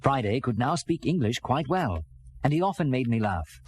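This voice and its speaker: A man's voice: medium pitch, normal speed, a little hiss or hum, close to an everyday voice. An actor playing an earnest castaway adventurer who tells his story theatrically, in the manner of a dramatized children's read-along. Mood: earnest, reflective. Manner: animated, theatrical delivery. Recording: vintage record with music behind. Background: music.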